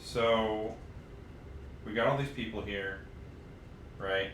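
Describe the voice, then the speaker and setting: A man with a lowish voice co-hosts a podcast in a loose, informal way, reading aloud and puzzling over details. The sound is echoey and has noticeable background noise, like a livestream from a room. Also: fairly even intonation, takes it slowly, a bit bright. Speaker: podcast co-host. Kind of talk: casual chat and reading story passages aloud. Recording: roomy, noisy livestream audio.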